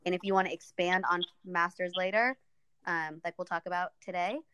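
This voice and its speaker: A young woman from Ethiopia speaks as a podcast guest in a relaxed, thoughtful way, leaning on "um" and "like." Her voice sits low and smooth. The sound is dry and close. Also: fairly quick, no echo. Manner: thoughtful, frequent "um" and "like". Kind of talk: casual podcast conversation.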